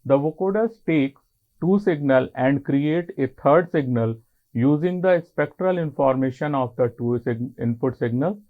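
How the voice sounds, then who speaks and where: A man with an Indian accent giving a technical college lecture in an explanatory way, in deliberate short phrases. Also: medium pitch. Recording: muffled, phone-like narrow band.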